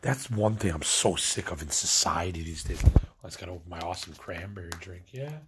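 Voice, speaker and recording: A man's voice: low-pitched, expressive, brisk, heavy background noise. A young man from Illinois, stoned and ranting in a meandering, casual way, filling his talk with "like" and trailing off mid-thought. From Illinois, USA. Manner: meandering, filler-heavy, lots of "like". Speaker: vlogger. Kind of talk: rambling late-night rant.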